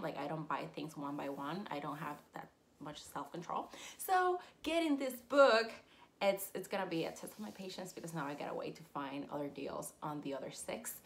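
A young woman in her twenties, raised in Puerto Rico with Spanish as her first language, chatting casually and animatedly in a collector's haul video from a room full of shelves. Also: fairly low pitch. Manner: chatty and animated, squealing with excitement, frequent laughs. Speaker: collector vlogger. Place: collectibles-filled room indoors. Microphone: camera at desk distance.

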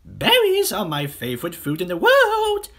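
A chirpy, innocent roly-poly bird from a storybook. It sings its words very high, swooping up and down in a sweet sing-song way. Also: huge pitch swings, normal speed, a bit bright, full character voice. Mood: innocent, excited. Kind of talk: theatrical children's storybook character voice.

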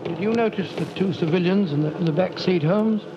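An actor playing a loyal, bluff older doctor who narrates and helps the detective, in 1940s radio acting. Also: male voice, high voice, brisk, noisy background. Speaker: narrator and sidekick. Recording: dull 1940s radio broadcast.

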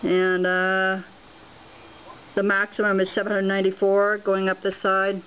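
A woman at a home filming a laptop screen, talking without a script and reading out measurement numbers with many pauses and fillers. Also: medium pitch, completely flat delivery, highs cut off, slight background noise. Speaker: home radiation monitoring vlogger. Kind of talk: unscripted on-camera walkthrough. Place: indoors at a home, beside a laptop.

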